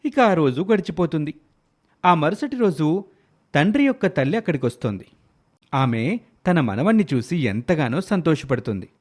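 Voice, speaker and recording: Animated male storyteller. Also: fairly high pitch, moderate pace, long pauses. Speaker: narrator. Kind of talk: scripted moral story narration.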